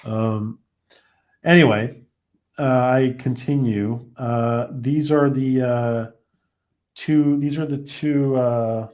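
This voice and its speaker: A man's voice: medium pitch, fairly even intonation, slow, darkish tone. A man hosting a casual live stream, rambling with many fillers and restarts while his voice starts to go. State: losing his voice. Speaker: live-stream host reviewing a TV episode. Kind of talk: casual live-stream chat reading viewer comments.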